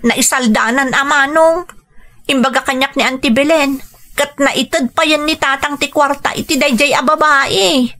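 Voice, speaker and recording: A woman's voice: high-pitched, fairly even intonation, normal speed, sharp tone. A woman with an Ilocano accent playing a caring, exasperated grown daughter in an emotional radio drama. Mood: worried, confiding.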